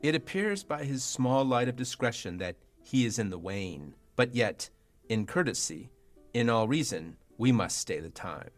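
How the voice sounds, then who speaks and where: Young man as courtly duke for Shakespeare radio play; medium voice, measured, dryly amused commentary. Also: medium pitch, sing-song, faint music behind, close to an everyday voice. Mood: wry amusement.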